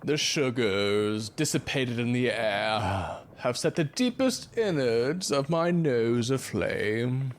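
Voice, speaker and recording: An actor playing a pompous, fussy first mate of an old sailing ship in a theatrical audio drama, with florid archaic phrasing. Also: male voice, mid-range voice, animated, slow, few pauses, a little hiss or hum. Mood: offended, commanding.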